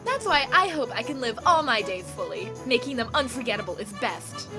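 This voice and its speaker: A woman voicing a bossy, energetic teenage girl who leads the group in a commanding, emphatic way, with music behind her. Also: a little high, brisk, close to an everyday voice. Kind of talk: fan audio drama acting. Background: background music.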